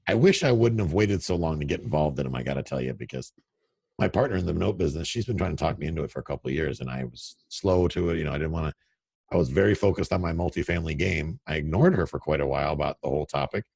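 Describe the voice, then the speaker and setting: A middle-aged man with a very deep, gravelly radio voice, telling candid, self-deprecating stories. His tone is husky, and the sound is close and dry with no room around it. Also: animated, fast talker, darkish tone, no room sound. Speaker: real estate investor guest. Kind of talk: casual interview over a call. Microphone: remote call audio.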